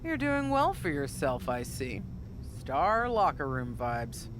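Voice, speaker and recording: A woman's voice: low voice, very animated, slow pace, exaggerated voice. Wrestling legend lady, sardonic and reproachful, pointed delivery, video game scene, noisy background. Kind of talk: video game cutscene dialogue. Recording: game audio with clear background noise.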